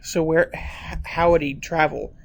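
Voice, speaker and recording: A man's voice: fairly high pitch, level tone, measured pace, poor audio. Man chatting casually in film commentary. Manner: casual, slangy, questioning. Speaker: movie commentary co-host. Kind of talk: casual film reaction chat.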